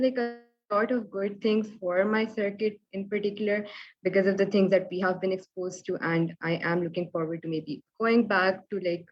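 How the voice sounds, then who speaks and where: A woman's voice: on the low side, lo-fi sound. A young woman introducing herself on a panel, hesitant with many fillers. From Pakistan. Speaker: debate panelist.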